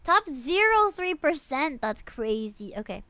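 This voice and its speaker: Bright, very high-voiced woman streaming a game. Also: measured pace, dry recording. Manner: animated, chatty, frequent exclamations and asides to viewers. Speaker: live streamer reviewing players' game characters. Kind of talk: casual live-stream chat, reading out stats.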